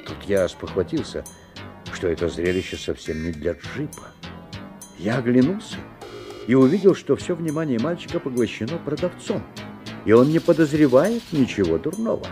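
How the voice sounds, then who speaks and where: An actor playing an anxious, watchful father who narrates a strange tale in a 1970s radio play, expressive and dramatic. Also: mid-range voice, moderate pace, over music, lightly acted. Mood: uneasy fascination.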